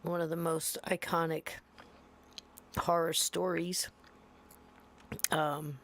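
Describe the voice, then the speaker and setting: Low-voiced woman hosting a chatty podcast. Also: little pitch movement, takes it slowly. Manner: rambling, chatty, with hushed asides. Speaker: podcast host. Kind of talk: casual chat.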